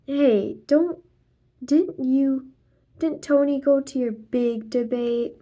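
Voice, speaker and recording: A woman reading a children's graphic novel aloud expressively, acting out the dialogue and sound words in a deliberate way. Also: sits high, dark tone. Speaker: read-aloud narrator.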